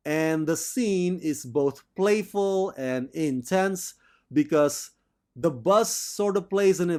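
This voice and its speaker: Slow-paced, enthusiastic male film reviewer. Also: on the high side, darkish tone. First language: not Thai.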